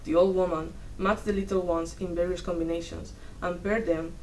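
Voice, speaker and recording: A young woman giving a dramatic reading of a story, in measured, deliberate short phrases. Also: on the low side, little pitch movement, a little mellow. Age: young adult. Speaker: student performer in a dramatic reading. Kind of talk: dramatic storytelling read from a script. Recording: distant mic, noisy room.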